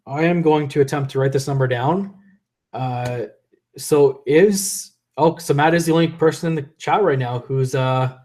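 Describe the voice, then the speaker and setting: A man's voice: medium pitch, a little mellow. Male streamer, mid-voiced, loose and profane, slightly drunk, home desk mic. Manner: rambling, loose and profane, reading chat aloud. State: tipsy from drinking. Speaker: livestream host. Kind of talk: casual live stream chat. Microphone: desk USB condenser mic.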